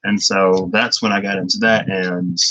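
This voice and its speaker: A man's voice: low-pitched, little pitch movement, normal speed, bright, forceful tone, dry recording, dull audio. A young man chatting loosely through a tarot reading, rambling and laughing often. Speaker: tarot reader. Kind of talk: casual livestream tarot reading.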